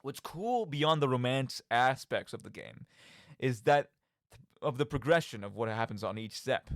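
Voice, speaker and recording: Animated man hosting a games talk show. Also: mid-range voice, normal speed, no echo, clean studio sound. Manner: theatrical, rhetorical questions, addresses listeners directly. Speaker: podcast host. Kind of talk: radio monologue, commentary on video games.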